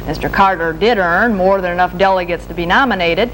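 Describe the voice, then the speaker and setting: An American woman reading scripted television news as an anchor in a formal, measured delivery. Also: on the low side, a bit bright. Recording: noisy archival 1970s broadcast tape, humming.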